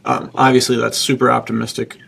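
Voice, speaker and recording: Husky, heavily creaky man chatting casually. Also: mid-range voice, level tone, brisk, fairly bright tone. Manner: enthusiastic, slangy, says dude. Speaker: podcast co-host and publisher. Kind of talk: casual podcast chat.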